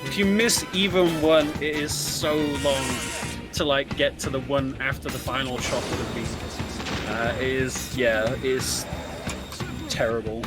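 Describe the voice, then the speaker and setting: A man's voice: mid-range voice, steady tone, unhurried. A man giving casual, explanatory live commentary alongside a video game speedrun. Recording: game music playing behind voice. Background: video game music and sounds.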